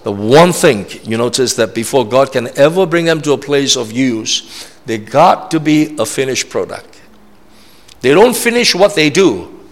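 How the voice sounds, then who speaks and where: Preacher in a reverberant hall. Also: male voice, medium pitch. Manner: emphatic, repetitive, checks in with "all right?". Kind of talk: sermon. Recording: long reverb of a large hall.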